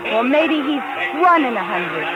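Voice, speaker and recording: An actress playing a coaxing, upbeat showbiz sweetheart in a 1950s radio drama. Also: female voice, fairly high pitch, very animated, a little slow. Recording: dull old radio recording, loud music.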